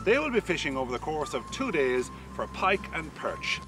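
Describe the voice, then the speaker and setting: A man's voice: fairly high pitch, very animated, moderate pace. A middle-aged Irish man presenting a promotional piece to camera outdoors on a riverside promenade, upbeat and promotional. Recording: with music behind, mic a bit distant.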